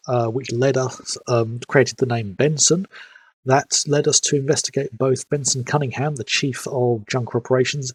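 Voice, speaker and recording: A man's voice: medium pitch, slightly rough, dry recording. A man, sniffly from hay fever, chatting casually as he streams a game and recaps the story. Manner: rambling, fills pauses with "um". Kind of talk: casual live-stream commentary.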